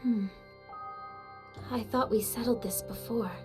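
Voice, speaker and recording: A woman's voice: a little high, fairly even intonation, measured pace, over music, lightly acted. A woman voicing a composed, self-assured young squad leader in a video game, cool and probing.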